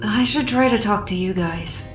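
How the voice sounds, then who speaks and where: A woman's voice: mid-range voice, normal speed, echoey room, distant mic, natural voice. A woman voicing a weary but polite young male adventurer in a comic fan dub. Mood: hesitant, mild. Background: music.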